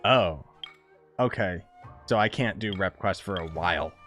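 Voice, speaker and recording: A man's voice: low-pitched, unhurried. A man giving casual, blunt and slangy live commentary as he streams a video game. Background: game music.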